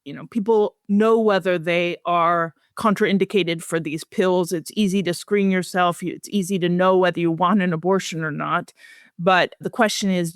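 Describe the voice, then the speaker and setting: A woman speaking as an expert guest in an interview, explaining research findings in a measured, serious, explanatory way. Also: fairly low pitch, no echo, clean studio sound.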